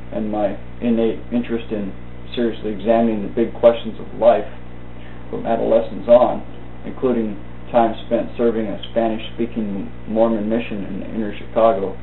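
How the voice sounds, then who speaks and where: Male reader for a reflective essay, lowish pitch, measured halting phrasing, thin phone-like sound. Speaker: reader of a thought-for-the-day video. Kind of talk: read-aloud personal essay, meditation. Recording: tinny band-limited audio, roomy echo, faint hum.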